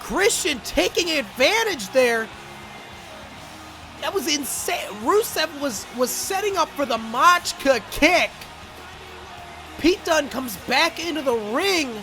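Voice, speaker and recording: A young man doing excitable play-by-play commentary over a wrestling video game, coughing and saying he is losing his voice. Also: very high voice. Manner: excitable, rising to shouts at big moments. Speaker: gaming channel host and commentator.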